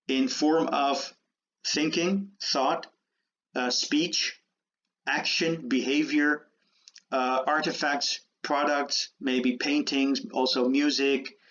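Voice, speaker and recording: A man born and raised in the Netherlands giving a university lecture, halting with many fillers and restarts. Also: medium pitch, a bit bright. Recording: dull lo-fi lecture audio.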